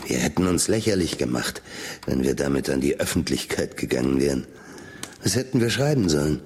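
A man's voice: a bass voice, animated, a little fast, darkish tone, slightly rough, full character voice. A man playing a thoughtful, reserved scientist in a radio drama, speaking in a hesitant, reflective way. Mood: earnest, explaining. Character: thoughtful, reserved male scientist and wealthy employer.

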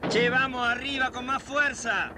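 An actor playing a loud man calling out in the street in a radio drama. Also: extremely high pitch, steady tone, a little slow. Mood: bossy. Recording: noisy street scene behind.

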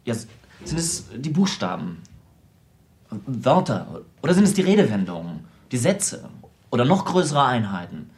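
An actor playing an eccentric, self-absorbed programmer in a radio play, explaining things at length with small hesitations. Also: male voice, medium pitch, very animated, darkish tone, close to an everyday voice. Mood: intent, lecturing. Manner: explanatory, lecturing, halting in places. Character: eccentric, self-absorbed American computer programmer, brilliant tinkerer. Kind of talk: naturalistic radio drama dialogue.

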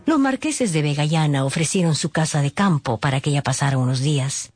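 A woman's voice: low voice, expressive, a little fast, slightly rough, no room sound, clean studio sound. A woman narrating a dramatised story expressively, with wry, gossipy asides. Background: background music.

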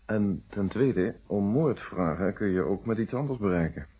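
An actor playing a calm, probing, wry male police inspector in a radio detective play, speaking Netherlands Dutch with polite, measured phrasing. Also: on the low side, breathy edge. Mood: wry, explanatory. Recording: dull, muffled radio drama recording.

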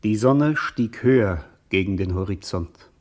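An actor playing a solemn poetic narrator in a radio drama, with vivid, measured, declamatory phrasing. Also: male voice, fairly low pitch, lively intonation, a little mellow. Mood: solemn, lyrical.

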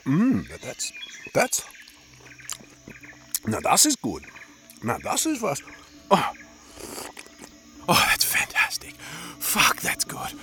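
An actor playing a pompous American gourmet traveller who moans and exclaims over food in a radio drama. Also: male voice, a little high, huge pitch swings, slow pace, long pauses, sharp tone, faint music behind, heavily acted. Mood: ecstatic. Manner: moaning, exclaiming with food-tasting delight.

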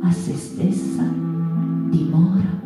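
Slow, reverent narrator, deep echo. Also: female voice, steady tone, loud music behind the voice. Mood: reverent, intense. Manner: measured, lyrical, pausing between phrases. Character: female mouse-folk narrator, hushed, reverent, intense. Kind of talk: theatrical literary monologue. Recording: very echoey theatre space.

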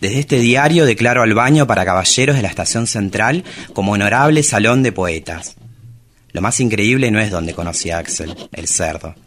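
A man's voice: on the low side, animated, moderate pace, a bit bright, slight background noise. A man playing a self-dramatizing, bawdy travesti poet with an Argentine accent in a radio drama, theatrical, provocative and tender. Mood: confiding, wistful. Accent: Argentine Spanish. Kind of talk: radio drama monologue and dialogue.

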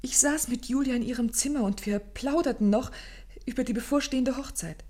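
An actress playing an anxious, grieving young gentlewoman who tells her story formally and intensely in a German radio play. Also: sits high, brisk. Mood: grave, composed. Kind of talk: German radio play acting, dramatic first-person narration.

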